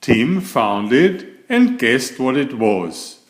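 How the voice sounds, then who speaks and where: A man reading a children's bedtime story aloud in a deliberate, expressive way. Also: medium pitch, roomy sound. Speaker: storyteller, children's author and channel host.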